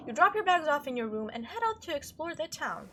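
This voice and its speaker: A woman's voice: sits high, big pitch swings, brisk, sharp tone, slight background noise. A woman doing a let's play, reading game text aloud and reacting in a chatty, playful way. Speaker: video game streamer doing a playthrough.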